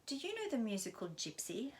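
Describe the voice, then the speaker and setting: A woman's voice: fairly high pitch, wildly swooping pitch, fairly quick, pitched above the performer's own voice. A woman reading aloud and voicing a friendly male leader of a music theatre group, jovial and chatty. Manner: jovial, laughing.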